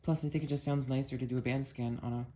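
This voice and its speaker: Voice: mid-range voice, fairly even intonation, quick pace, mellow. A hobbyist talking casually through a hands-on product demo, thinking aloud in a musing, matter-of-fact way. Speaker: amateur video maker, radio hobbyist.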